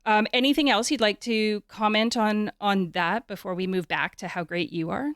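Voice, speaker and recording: A woman's voice: mid-range voice, fairly even intonation, moderate pace, fairly bright tone, no echo, studio quality. A Canadian woman hosting a conversational interview, informal and often searching for her words.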